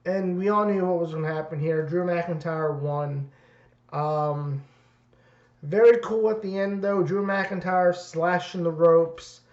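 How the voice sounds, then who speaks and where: A man reviewing wrestling in a casual, rambling way, his voice raspy and worn. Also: on the high side, steady tone, unhurried, a little mellow. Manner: rambling, many fillers and restarts. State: raspy voice from two nights of events. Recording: dull roomy lo-fi audio.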